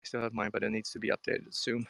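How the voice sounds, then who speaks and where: A man's voice: fairly low pitch, completely flat delivery, fast, gravelly, fairly bright tone, dry recording. A man from Hong Kong live-streaming in a casual, musing, conversational way, reading comments aloud and replying to viewers. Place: outdoors at a riverside.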